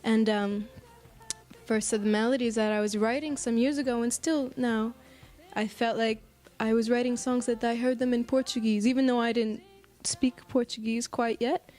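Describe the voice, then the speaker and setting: An American woman speaking casually in an interview as a singer-songwriter, thoughtful and halting, often pausing mid-phrase. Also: on the high side, steady tone, darkish tone. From United States. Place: apartment.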